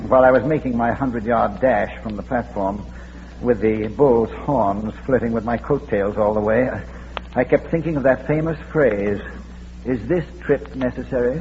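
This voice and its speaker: An actor playing a dry, witty, unflappable gentleman sleuth in a 1940s radio comedy-mystery, with genteel phrasing. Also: mid-range voice, moderate pace, natural voice. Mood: wry. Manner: droll, wry, genteel phrasing. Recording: muffled old radio recording, hiss, reverberant.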